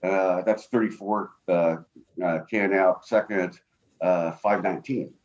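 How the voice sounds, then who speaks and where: A man with a booming voice arguing an appeal as an attorney over a video call from home, halting and full of fillers. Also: fairly even intonation, a little mellow, lo-fi sound. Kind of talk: appellate oral argument. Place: home office.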